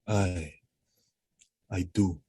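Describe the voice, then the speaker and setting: An actor playing a jealous, murderous husband in a Shakespearean audio drama, solemn, declamatory and anguished. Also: male voice, sits low, fairly even intonation, drawn-out words, warm tone, husky, no echo, clean studio sound, heavily acted. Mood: grave, menacing. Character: jealous, murderous husband, grave and tormented military lord.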